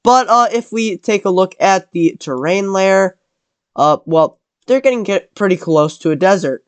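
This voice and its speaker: A man's voice: sits high, animated, normal speed, smooth, no room sound. A man with a cold narrating a map scenario in casual commentary, rambling and correcting himself as he goes. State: has a cold.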